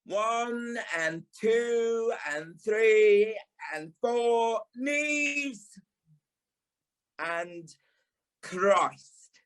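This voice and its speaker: A man's voice: very high voice, lively intonation, drawn-out words, pauses often, a bit bright, clean tone, exaggerated voice, poor audio. A man playing a flamboyant, blunt former ballet teacher with a British accent in an audio play, theatrical and tactless. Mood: bossy, scolding. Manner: theatrical, tactless, drawling, calls people darling and lovey.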